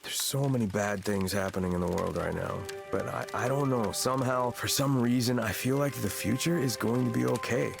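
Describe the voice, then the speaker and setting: A man's voice: medium pitch, moderate pace, creaky, few pauses, close to an everyday voice. A man playing an earnest, idealistic young male grad student in a visual novel, speaking in a reflective, hesitant, naturalistic way. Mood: hopeful, musing. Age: young adult. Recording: music behind the voice. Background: background music.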